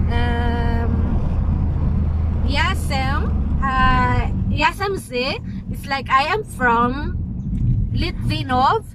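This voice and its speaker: Female vlogger, Filipino accent, high voice, unhurried casual tutorial, hesitant. Recorded in a noisy moving vehicle. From Philippines. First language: Tagalog. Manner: hesitant, explaining, repeating words. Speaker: vlogger learning and explaining Czech. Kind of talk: casual language tutorial chat. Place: moving vehicle on a road trip. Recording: loud vehicle and road noise.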